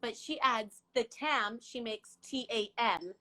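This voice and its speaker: A middle-aged woman who grew up in Iran and speaks Farsi as her first language. She is a guest in a casual chat, giggly and talkative, restarting her words. Her voice is sharp and bright, pitched a little high. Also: slow. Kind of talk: casual live-stream chat.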